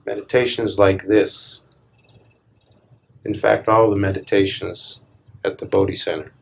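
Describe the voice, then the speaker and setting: A man leading a live guided meditation, speaking calmly and deliberately in short phrases with long pauses between them. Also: fairly low pitch, gravelly, slightly rough. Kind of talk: live guided meditation, slow hushed instruction.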